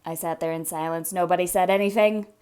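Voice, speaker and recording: A woman giving an expressive read-aloud of a children's picture book, with theatrical, drawn-out emphasis on her words. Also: lowish voice, smooth. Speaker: storybook reader.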